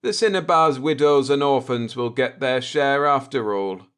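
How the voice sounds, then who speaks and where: A man voicing a coolly vindictive spaceship navigator in an audiobook, deliberate and sardonic as he explains. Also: medium pitch, fairly bright tone, clean tone, natural voice. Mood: matter-of-fact.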